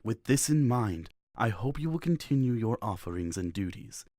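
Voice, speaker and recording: A man plays a serene male religious leader from a fantasy game, low and mellow in voice. He speaks with measured, formal eloquence, as if preaching to the faithful. The sound is close and dry. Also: expressive, no room sound, lightly acted. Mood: benevolent. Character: serene, eloquent male priestly leader addressing his followers. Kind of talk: visual novel voice acting, formal oration.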